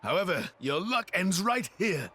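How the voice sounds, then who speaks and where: High-pitched, bombastic cartoonish villain. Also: male voice, sing-song, a little slow, heavy background noise. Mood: boastful, bombastic. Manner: bombastic, hammy, taunting. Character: bumbling, boastful young male villain.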